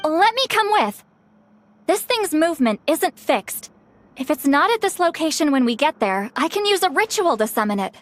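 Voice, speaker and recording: A woman's voice: sits high, sing-song, moderate pace. A woman voicing a playful, proud, youthful-sounding game character who styles herself as a granny, with a breezy, boastful manner. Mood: eager, confident. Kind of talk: video game voice acting.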